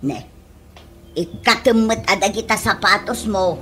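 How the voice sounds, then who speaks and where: A woman's voice: medium pitch, measured pace, background noise, lightly acted. A woman playing a nagging, poor Ilocano housewife in a comedy radio drama, with an Ilocano accent and scolding, exclamatory delivery.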